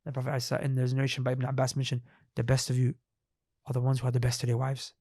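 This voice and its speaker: A man's voice: mid-range voice, completely flat delivery, very fast, a little mellow, no room sound, clean studio sound. Blunt man lecturing his friend. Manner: blunt, emphatic, repeats points. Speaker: podcast co-host. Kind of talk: casual religious chat.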